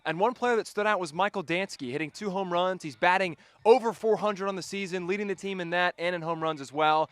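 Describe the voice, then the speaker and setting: A man's voice: high voice, brisk, few pauses, fairly bright tone, no room sound. A young man working as a sports reporter, delivering a prepared stand-up report.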